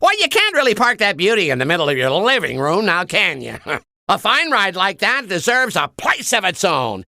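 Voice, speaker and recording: A man's voice: high voice, huge pitch swings, moderate pace, vocal fry, pushed and bright, no echo, clean studio sound, exaggerated voice. An actor playing a slick, wheedling used-car salesman creature in a video game, always on the hard sell. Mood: slick, congratulatory. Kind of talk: video game character voice acting.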